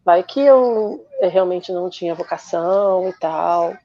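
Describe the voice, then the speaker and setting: A woman from Rio de Janeiro with a Carioca accent, a journalist guest explaining patiently with many pauses in a casual podcast chat. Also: on the low side, dull audio. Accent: Carioca Brazilian Portuguese. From Rio de Janeiro, Brazil.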